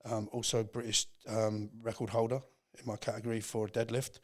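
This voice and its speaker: A man's voice: on the low side, flat delivery, moderate pace. A man in his sixties talking casually and plainly as a podcast guest, with emphatic phrasing. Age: 60s. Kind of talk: casual chat.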